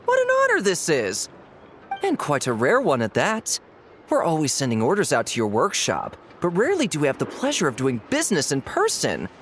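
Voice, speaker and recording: Flattering video game merchant, florid n courteous, voice swooping all over, bit of background noise. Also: male voice, fairly high pitch, brisk. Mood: gushing. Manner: florid, obsequious. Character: effusive, flattering male guild merchant, courteous.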